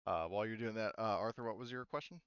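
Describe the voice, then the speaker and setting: A man's voice: lowish voice, fast, fairly bright tone, clean tone, no room sound, muffled recording. A young man acting as game master, checking rules casually with many fillers. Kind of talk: running a tabletop game with players.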